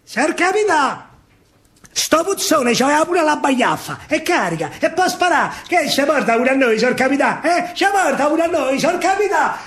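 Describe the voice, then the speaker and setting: Man playing an excitable, high-pitched militiaman. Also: normal speed, exaggerated voice. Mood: urgent insistence. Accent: Roman. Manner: urgent, insistent, repetitive.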